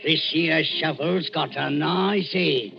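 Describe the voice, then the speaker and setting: A bullying London body snatcher in a vintage radio thriller. His voice is fairly high for a man and heavily gravelled, rough and bold. The recording is dull and aged. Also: takes it slowly, music in the background. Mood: urgent, bold. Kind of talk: 1940s radio drama acting. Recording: muffled old radio recording.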